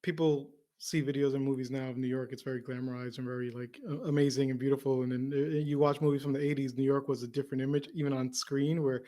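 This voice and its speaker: Quick-talking chatty podcast host man. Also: mid-range voice, little pitch movement, mellow. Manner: chatty, quick, repeats words. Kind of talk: casual interview questions.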